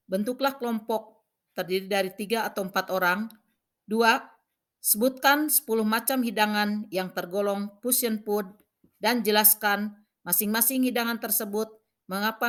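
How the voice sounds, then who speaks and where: A woman teacher with a medium-pitched voice narrates a scripted lesson. She reads out each point in short, measured phrases, in an instructional manner. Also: a bit bright. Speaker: vocational school cooking teacher.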